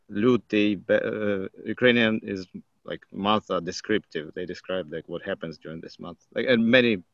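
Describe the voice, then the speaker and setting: Low-voiced man. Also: fairly bright tone, no room sound, dull audio. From Kazakhstan. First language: Russian. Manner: halting, searching for words. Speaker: participant. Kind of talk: casual group video call.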